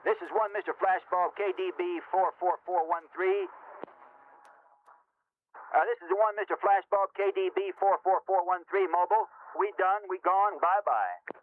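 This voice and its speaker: A man's voice: high voice, moderate pace. A man playing a breezy, casual CB radio operator, chattering in slang over a radio. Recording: thin, muffled CB radio transmission.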